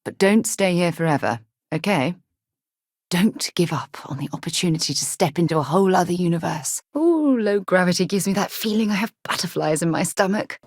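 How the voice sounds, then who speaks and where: An actress playing a principled, warm, battle-tested space explorer and former commander in a video game, earnest and articulate. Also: female voice, fairly low pitch, lively intonation, a little fast, no echo, studio quality. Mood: friendly, light. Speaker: game companion character. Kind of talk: video game voice acting, dramatic dialogue.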